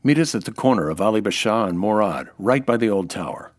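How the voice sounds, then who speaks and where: An actor playing a hard-boiled, wry American nightclub owner turned sleuth, in the terse style of a 1940s radio detective drama. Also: male voice, fairly low pitch, lively intonation, fairly quick. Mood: determined, cool. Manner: terse, noir-style storytelling.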